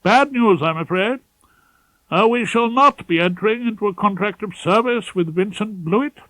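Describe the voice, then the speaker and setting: A man's voice: high-pitched, moderate pace, fairly bright tone, dry recording, pitched above the performer's own voice, low-quality recording. A man voicing a pompous, prim, scandalised middle-aged male head barrister in an audiobook, with formal and hesitant phrasing. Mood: scandalised, aggrieved. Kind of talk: audiobook character voice.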